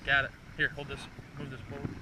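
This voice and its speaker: A man's voice: medium pitch, completely flat delivery, fast talker, recorded from a distance. A man hosting a fishing vlog, chatting casually with bro-ish slang and saying "dude" constantly. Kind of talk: casual excited chat while fishing, then recap to viewers. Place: outdoors on a small fishing boat. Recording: wind, boat and engine noise.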